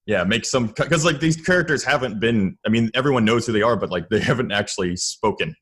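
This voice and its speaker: A man's voice: mid-range voice, fairly quick, fairly bright tone, husky. A man in casual conversation as a podcast guest, with a joking manner. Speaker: podcast guest, comedy audio drama creator. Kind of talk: casual chat.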